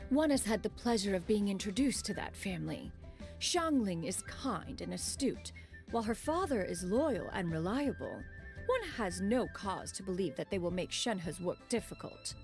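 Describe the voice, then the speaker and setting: A woman's voice: medium pitch, wildly swooping pitch, moderate pace, a little mellow, soft background music. A woman voicing an aloof immortal who speaks in formal, old-fashioned third person, in a game cutscene. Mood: stately.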